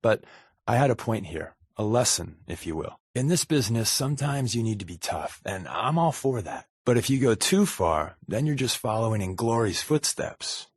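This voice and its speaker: An actor playing a wry, wisecracking undercover agent in a video game, with a sarcastic, deadpan, conversational delivery. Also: male voice, fairly low pitch, moderate pace, husky, no echo, studio quality. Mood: earnest, reflective. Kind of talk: video game companion dialogue.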